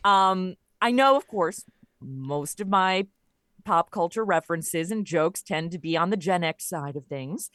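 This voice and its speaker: Female podcast guest, middle-aged, pitched a bit low. Conversational, wry humor, very dry sound. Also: lively intonation, a little slow, fairly bright tone, dry recording. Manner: wry, joking. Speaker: podcast guest, audio comedy creator. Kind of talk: casual conversational interview.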